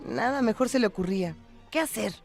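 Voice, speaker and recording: A woman's voice: on the high side, lively intonation, brisk. Woman reading a story over faint music.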